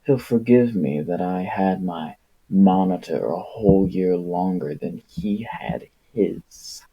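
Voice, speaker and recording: A man's voice: sits low, animated, slow pace, gravelly, slightly rough, lo-fi sound, heavily acted. A man voicing an anxious, hopeful young boy thinking to himself in a novel, with a resigned manner. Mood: wistful.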